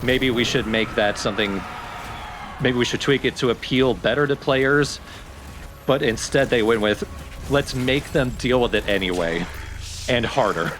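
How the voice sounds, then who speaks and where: A man's voice: mid-range voice, measured pace, noisy background. A man chatting casually and musingly as co-commentator on a video game let's play.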